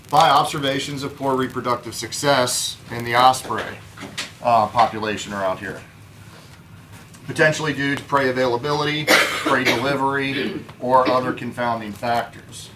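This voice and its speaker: A man's voice: mid-range voice, a bit bright, recorded from a distance, slight background noise, poor audio. A man giving a conference talk as a field biologist, plainspoken and informal.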